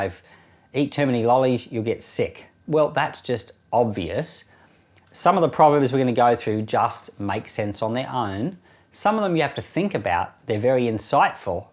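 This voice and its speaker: Man with a lively pastor's delivery. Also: medium pitch. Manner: casual explaining with fillers and restarts; emphatic verse-by-verse scripture reading. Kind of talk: Bible reading with commentary.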